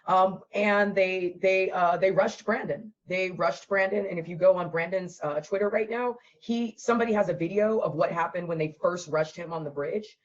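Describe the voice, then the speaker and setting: Hoarse, profane woman ranting. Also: lowish voice, dull audio. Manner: profane, blunt, defiant ranting. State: hoarse, lost voice. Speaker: host. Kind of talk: casual ranting vlog.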